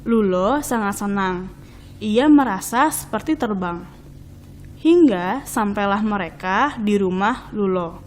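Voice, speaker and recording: A woman reading a children's storybook as narrator, in expressive, deliberate, measured phrases. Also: mid-range voice, a little hiss or hum.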